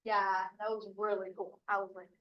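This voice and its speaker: A woman's voice: mid-range voice, level tone, moderate pace. Chatty young woman, dull lo-fi sound. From Bali, Indonesia. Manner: chatty, many "like" fillers, laughs easily. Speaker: local guide at orientation. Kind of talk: casual advice chat.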